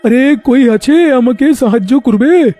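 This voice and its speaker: A hapless cartoon truck driver, acted by a man, blustering and pleading in plain colloquial speech. The voice is high and mellow, with faint music behind it. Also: unhurried. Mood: terrified, pleading.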